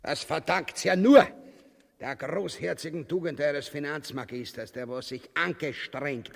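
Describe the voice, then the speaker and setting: An actor with an Austrian accent playing a pompous, gluttonous, cowardly tyrant in bombastic dialect for a grotesque comic radio drama. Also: male voice, on the high side, unhurried, gravelly. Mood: pompous, boastful. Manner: bombastic, drawling, mock-elevated dialect speech. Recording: long hall-like reverb.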